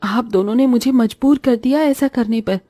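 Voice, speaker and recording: A woman's voice: fairly high pitch, moderate pace, mellow, no room sound, soft background music, close to an everyday voice. A woman voicing a meek, wronged young bride in a cartoon story, pleading and plaintive. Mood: wounded, firm. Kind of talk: Hindi cartoon story voice acting.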